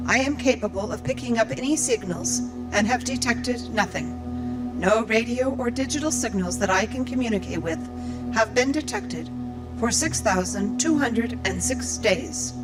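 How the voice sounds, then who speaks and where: A woman's voice: a little high, animated, moderate pace, over loud music, natural voice. A woman playing a lonely, earnest robot librarian in an audio drama, speaking in formal, precise sentences. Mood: matter-of-fact. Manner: formal, precise recitation of data.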